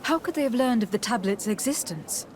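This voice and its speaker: A woman's voice: on the high side, lively intonation, brisk, background noise, lightly acted. An actress playing a courteous, composed young noblewoman diplomat in a video game, speaking formally and inquiringly. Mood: polite, inquiring. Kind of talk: scripted video game dialogue.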